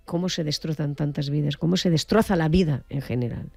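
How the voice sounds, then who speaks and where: A man's voice: on the high side, fairly quick, creaky, a little mellow. A man with a Valencian accent presenting and interviewing on a studio microphone at a radio station.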